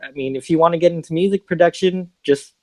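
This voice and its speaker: A man's voice: fairly high pitch, fast, mellow, no echo. A man from the Bay Area, a music producer being interviewed in a casual podcast chat. He talks in a laid-back, hesitant way with lots of fillers and restarts. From San Francisco Bay Area.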